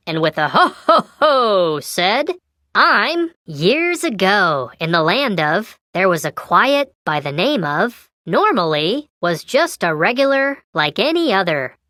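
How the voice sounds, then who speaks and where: Cheerful toy elf, storybook narrator for kids: crisp bright tone, big pitch swings, measured pace. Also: female voice, no room sound, studio quality, exaggerated voice. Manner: perky, animated, exaggerated storybook delivery. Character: cheerful little Christmas elf toy telling fill-in-the-blank stories. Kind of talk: scripted children's storytelling and toy prompts.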